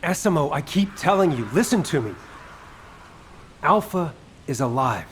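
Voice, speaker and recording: A man voicing a teasing, animated squad mate who gives mission briefings in a video game. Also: fairly high pitch, normal speed, slight background noise. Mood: exasperated, wry. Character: wisecracking, brisk male squad mate giving mission briefings.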